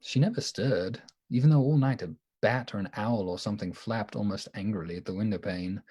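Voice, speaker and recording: A man acting in a radio play as an earnest, anxious doctor devoted to the woman he loves, with a halting, emotionally open delivery. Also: fairly low pitch, mellow, husky. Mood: weary narration.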